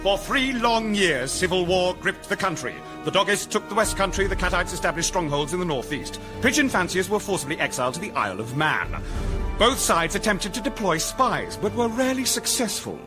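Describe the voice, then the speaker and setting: Casting: dramatic documentary narrator, British, high and wildly varied pitch, solemn mock-epic radio comedy delivery. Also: male voice, sing-song, a little fast, music in the background. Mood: portentous. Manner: portentous, emphatic, storytelling.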